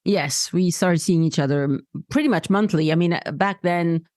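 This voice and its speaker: A woman's voice: on the low side, moderate pace, darkish tone, dry recording, clean studio sound. A woman from France hosting a podcast, chatting and reminiscing, laughing easily. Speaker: podcast host. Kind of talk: casual conversation.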